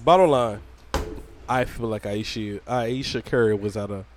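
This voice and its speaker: A man hosting a casual podcast, laid-back and quick to laugh. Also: on the low side, noisy background. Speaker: podcast host.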